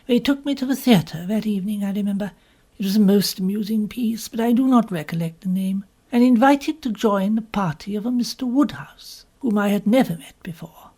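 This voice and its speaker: A woman narrates an audiobook short story. Her delivery is formal and rather prim, with the measured phrasing of scripted storytelling. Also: medium pitch, mellow.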